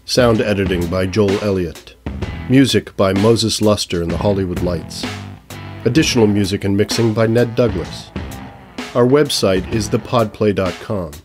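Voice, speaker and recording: A man's voice: on the low side, moderate pace, lightly acted. A man narrating an audio play in a scripted style, reading stage directions and credits. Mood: announcing. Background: music.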